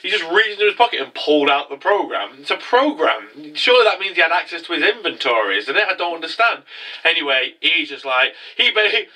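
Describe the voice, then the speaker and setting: Congested man, bright sharp voice. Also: on the high side, big pitch swings, fairly quick, recorded from a distance, poor audio. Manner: profane, rambling, jokey. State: congested from a cold. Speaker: anime review host. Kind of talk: casual recap commentary.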